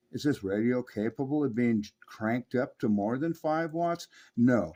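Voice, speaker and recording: A man from Southern California walks through a vintage radio at his workbench, eager and full of operator lingo. His voice sits at a middling pitch. He sounds like a seasoned hobby reviewer. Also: darkish tone. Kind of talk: hobby tech review and on-air radio chat.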